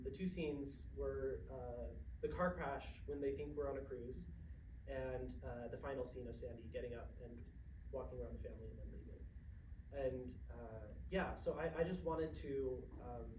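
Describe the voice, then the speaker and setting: Male playwright, mid-pitched, conversational and halting, in a roomy, noisy space. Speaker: playwright at an audience talkback. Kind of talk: casual spoken Q&A. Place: indoor theatre room, mic far from speaker. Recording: roomy, distant mic, noisy hall.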